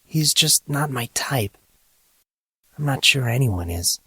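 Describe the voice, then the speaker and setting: A woman playing a composed but troubled teenage high school girl in an audio drama, talking in a measured, explanatory way. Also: expressive, fairly bright tone, slightly rough, no echo, exaggerated voice. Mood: troubled, confiding.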